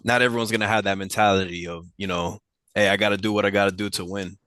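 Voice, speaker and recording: A man hosting a podcast, asking questions and chiming in in a relaxed way. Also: on the low side, fast, no echo. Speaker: podcast host. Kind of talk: casual podcast interview.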